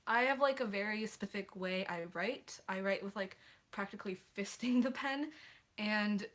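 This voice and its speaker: A woman's voice: medium pitch, normal speed, a bit bright. A teenage girl hosting a casual livestream, answering viewer questions in a chatty, apologetic way with many hesitations. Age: teens.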